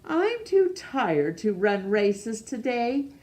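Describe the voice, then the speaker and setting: A woman voicing a gentle, polite old male zookeeper from a children's storybook, in a mild, courteous way. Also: on the high side, sing-song, slow pace. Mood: tired.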